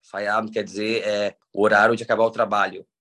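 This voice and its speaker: A man's voice: fairly low pitch, little pitch movement, fast talker, bright, forceful tone. A man in his thirties from São Paulo with a São Paulo accent, a guest who explains things patiently and often restarts his words. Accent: São Paulo Brazilian Portuguese. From Brazil. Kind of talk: conversational interview.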